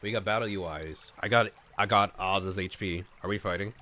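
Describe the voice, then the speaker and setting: A man streaming an expressive, playful read-aloud of a visual novel, narrating and acting out the dialogue. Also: low voice, moderate pace. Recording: music behind the voice. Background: game music.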